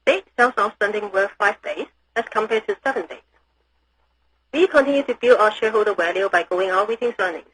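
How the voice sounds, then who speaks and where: A woman's voice: mid-range voice, fast talker, fairly bright tone, slightly rough. A woman reading a scripted financial report in a formal manner, as a company executive on an earnings call. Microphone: phone or conference call line. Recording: noisy conference call line.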